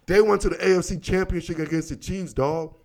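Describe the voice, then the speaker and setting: Male American sports host, high voice with gravelly fry, emphatic and animated, street-casual delivery. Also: brisk. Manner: animated, emphatic, repeats himself, addresses listeners as dog and baby. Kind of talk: casual, slangy football commentary monologue.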